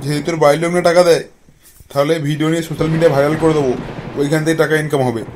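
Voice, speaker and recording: Man voicing a high-pitched, scheming cartoon bully husband. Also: level tone, racing, heavy background noise, natural voice. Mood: threatening. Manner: bullying, threatening. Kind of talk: comic cartoon villain dialogue.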